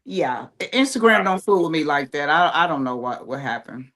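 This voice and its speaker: A woman from Dayton, Ohio, a playwright and skit creator, chatting in a relaxed way. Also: low-pitched, a little fast. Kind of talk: casual video-call chat.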